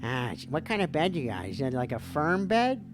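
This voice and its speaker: A woman hosting a livestream call-in show as a mock therapist, dreamy, theatrical and goofy, with a gravelly voice. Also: sits low, sing-song, moderate pace. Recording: music playing behind the voice. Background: background music.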